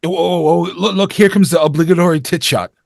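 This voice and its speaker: A man playing an excitable teenage horror-movie geek in an amateur table read. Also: on the high side, brisk, gravelly, no room sound, clean studio sound. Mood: excited.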